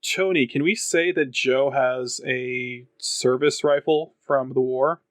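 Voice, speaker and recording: A man's voice: medium pitch, steady tone, slow, no echo. A man chatting as a player in a tabletop role-playing game, hesitating and repeating his words. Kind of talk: casual tabletop role-play chat.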